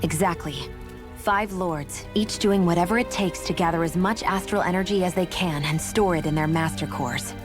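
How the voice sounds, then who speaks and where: Woman acting a cool, low-pitched young woman. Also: natural voice. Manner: matter-of-fact, precise. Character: cool, knowing young woman from an enemy nation. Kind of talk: video game voice acting.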